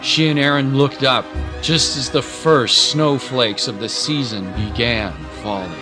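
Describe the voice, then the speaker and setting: A man narrating a dramatic scripted story in a measured, solemn manner. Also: mid-range voice, fairly even intonation. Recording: dramatic music bed. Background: music.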